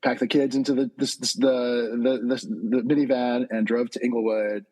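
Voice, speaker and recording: A man chatting as a podcast guest, telling stories in an animated way and acting out quotes. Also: medium pitch, moderate pace, poor audio. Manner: chatty, animated storytelling with laughs and quoted asides. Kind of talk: casual podcast chat.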